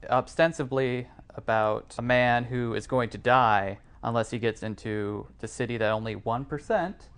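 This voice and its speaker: A man's voice: medium pitch, fairly even intonation, moderate pace, fairly bright tone, clear, resonant tone. A man co-hosting a film podcast, talking casually in a panel discussion.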